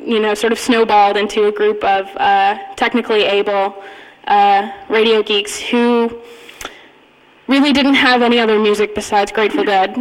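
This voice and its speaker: A woman giving an informal talk, telling a personal story in a halting, amused manner. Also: medium pitch, steady tone. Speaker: conference speaker, radio hacker community member. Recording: echoey hall with long reverb.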